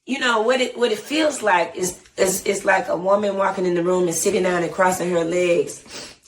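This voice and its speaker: Southern woman, low voice, chatty rambling guest, a bit distant on mic. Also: normal speed, slight background noise. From Atlanta. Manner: animated, rambling, frequent "you know what I'm saying". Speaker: guest artist. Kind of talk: casual interview.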